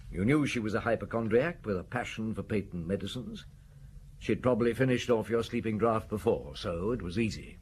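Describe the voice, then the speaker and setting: A man's voice: on the low side, lively intonation, fairly quick, darkish tone, natural voice. A man playing a stern, relentless chief inspector in an audio drama, blunt and commanding as he accuses. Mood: relentless, accusing. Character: stern, relentless male police chief inspector, menacing and sure. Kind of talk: audio drama acting, interrogation and narration. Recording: clearly noisy drama recording.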